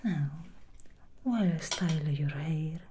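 ASMR: woman as a teasing demon stylist, low dark whisper. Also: expressive, measured pace, a little hiss or hum. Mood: coaxing whisper. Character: seductive female demon hair stylist. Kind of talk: ASMR roleplay.

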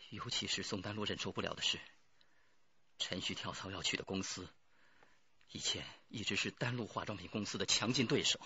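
Cornered crime suspect, low, tense voice. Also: male voice, fairly quick, bright, forceful tone, breathy edge, muffled recording, full character voice. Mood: confessing. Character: defiant, cornered male murder plotter under interrogation. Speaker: radio drama actor. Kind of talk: radio drama acting.

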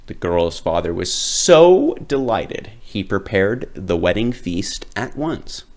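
A man tells a children's story in an expressive read-aloud style. He goes slowly, stretches key words for drama, and lets his pitch swing very widely. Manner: dramatic, drawn-out emphasis.

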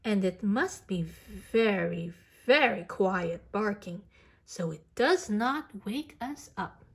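A woman voicing a whimsical, insistent little girl from a picture book, earnest and emphatic with drawn-out words. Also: medium pitch, lively intonation, slightly rough, exaggerated voice. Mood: excited, emphatic.

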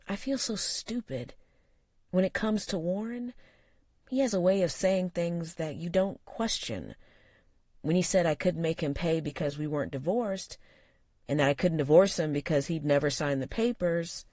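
A narrator voicing an anxious young woman who talks in a quick, nervous, earnest way. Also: mid-range voice, expressive, a little mellow, dry recording, studio quality.

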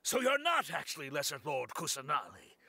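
An actor playing a stern male guard captain who barks orders and shouts. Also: a little high, wildly swooping pitch, fairly quick, breathy edge, dry recording, full character voice. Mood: shouting alarmed.

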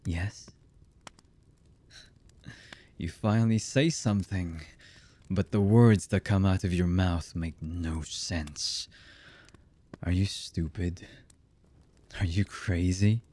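A voice actor playing a cold, embittered villain man in an ASMR roleplay, husky and menacing, with halting delivery that often drops to a murmur. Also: steady tone, warm tone. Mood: cold menace. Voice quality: husky, low.

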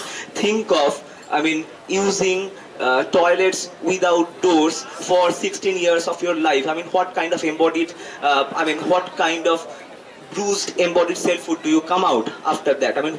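A man in his twenties with an Indian accent gives a political speech as a student activist, halting and reflective, rephrasing in academic wording. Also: a little high. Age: 20s. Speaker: student activist giving a public address. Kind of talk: political speech, partly improvised. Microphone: handheld microphone held close to the mouth. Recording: distant mic, noisy live event sound.

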